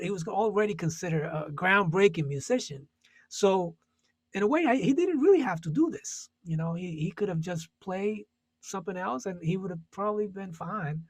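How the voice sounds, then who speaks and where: A man from Puerto Rico speaks in a husky, slightly raised voice, thinking aloud about his music. He circles back and restarts often, using fillers as he searches for words. The sound is close and dry. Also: high-pitched, dry recording. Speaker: jazz musician, panelist. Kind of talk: casual panel discussion.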